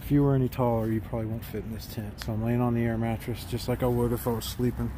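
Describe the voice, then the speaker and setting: A man in his forties gives a relaxed, plain-spoken walkthrough of a tent, filming himself on a phone outdoors in a backyard. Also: mid-range voice, fast talker. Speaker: gear reviewer. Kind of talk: casual product walkthrough. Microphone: phone camera, handheld and at arm's length. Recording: phone recording outdoors with background noise.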